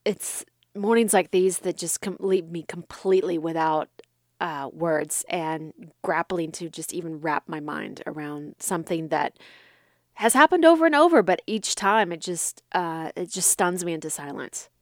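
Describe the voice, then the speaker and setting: A woman's voice: fairly low pitch, a little slow, dry recording. Woman radio host, dry studio sound, thoughtful, searching for words. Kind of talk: morning radio interview.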